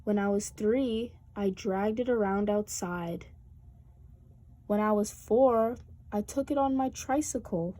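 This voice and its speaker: A woman's voice: medium pitch, slow, mellow. A woman voicing a firm but caring mother in a children's storybook, motherly and emphatic. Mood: gentle, reminiscing.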